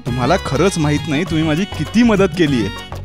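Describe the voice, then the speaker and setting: Man acting animated, high-voiced eager young villager. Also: a little fast, music in the background, natural voice. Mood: excited. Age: young adult. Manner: earnest, excitable, polite. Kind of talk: children's fairy-tale cartoon acting.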